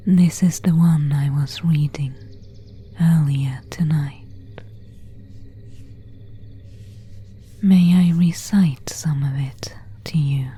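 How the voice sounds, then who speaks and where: Woman playing a whispering, very slow female vampire. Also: sits low, heavily acted. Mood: softly courteous, wistful. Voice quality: breathy unvoiced whisper. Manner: slow, hushed, formal old-fashioned phrasing. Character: pale, elegant, melancholy female vampire of an ancient castle. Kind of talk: ASMR roleplay, whispered.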